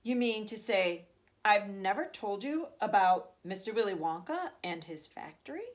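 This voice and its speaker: A woman voicing an excitable, kindly old grandfather from a children's storybook, dramatic and savoring each detail. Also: mid-range voice, moderate pace, close to an everyday voice. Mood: excited, marveling. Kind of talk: children's storybook read aloud.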